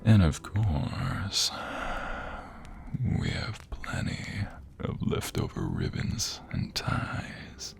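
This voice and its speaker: A man playing an affectionate, playful husband in an intimate ASMR roleplay, speaking tenderly and teasingly with breathy, whispery softness. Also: sits low, huge pitch swings, faint music behind, full character voice. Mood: flirty, teasing.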